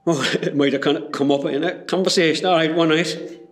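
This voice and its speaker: A man's voice: fairly high pitch, steady tone, a little fast, close to an everyday voice. A man playing a kindly, earnest Irish hospital porter with a Cork accent in an amateur radio play. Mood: confiding, regretful. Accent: Irish, County Cork. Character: kindly, apologetic Irish hospital porter, grown-up local lad.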